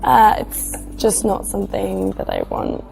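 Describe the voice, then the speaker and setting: A woman's voice: mid-range voice, wildly swooping pitch, a little slow, vocal fry, darkish tone, exaggerated voice, rough recording. A woman acting a polite, reluctant date in a dating game scene, with music behind her. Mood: awkward, apologetic. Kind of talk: acted dating-game cutscene dialogue.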